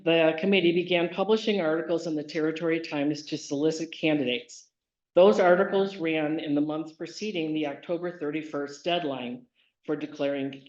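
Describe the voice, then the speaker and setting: Measured formal announcer in an echoing hall. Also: mid-range voice. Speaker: nominating committee member. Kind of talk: reading prepared remarks. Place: meeting hall. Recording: roomy, dull meeting recording.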